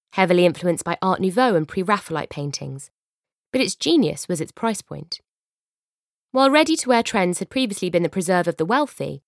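A young British woman narrating an audiobook from a script, expressive and given to wry asides, close on a studio microphone. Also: lowish voice, dry recording.

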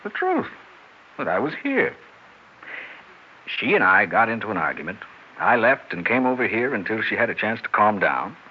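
An actor playing a scheming, persuasive American husband in a 1960s radio drama, smooth-talking but hesitant under pressure. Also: male voice, on the low side, very animated, moderate pace, pauses often. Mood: insistent, pleading. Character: scheming, smooth-talking husband who plotted his wife's murder. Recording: dull, hissy 1960s radio recording.

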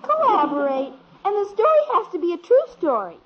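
High chirpy little daughter, earnest, lo-fi old radio. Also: female voice, sing-song, a little fast, heavy background noise. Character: bright eager little girl, proud of her secret. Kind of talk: 1950s radio sitcom acting. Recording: dull old radio broadcast.

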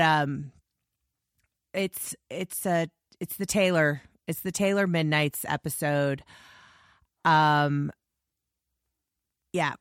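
Woman podcast host, voice scratchy and hoarse from losing it, chatty and effusive, dry close sound. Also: low-pitched, no room sound. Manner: gushing, rambling with restarts. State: hoarse, lost voice. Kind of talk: casual podcast monologue.

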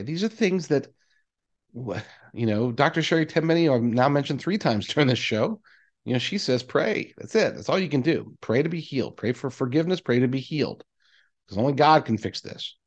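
A man hosting a podcast, talking to his audience in a conversational, emphatic monologue. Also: medium pitch. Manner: conversational, emphatic, voicing imagined replies. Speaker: podcast host.

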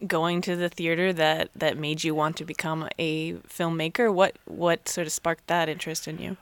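A woman's voice: low-pitched, level tone, normal speed, clear, resonant tone, dry recording. A woman co-hosting a podcast, opening with an upbeat scripted intro and asking questions. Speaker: podcast co-host. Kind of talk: podcast interview.

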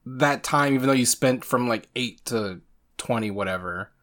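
A man's voice: medium pitch, normal speed, a bit bright. A man from Virginia co-hosting a podcast, chatting casually, reflective and teasing, and laughing often. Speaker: podcast co-host. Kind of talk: casual conversation.

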